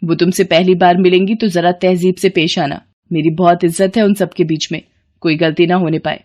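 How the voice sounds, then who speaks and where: A woman's voice: fairly low pitch, little pitch movement, moderate pace, a little mellow, close to an everyday voice. A woman voicing a haughty middle-aged rich mother-in-law who talks in an imperious, cutting, condescending way in a family story. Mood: bossy.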